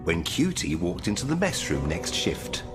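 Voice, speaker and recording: An actor in a radio drama playing a hot-tempered, sarcastic space station engineer, blunt and exasperated. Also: male voice, sits low, huge pitch swings, normal speed, loud music behind the voice. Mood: grim, tense.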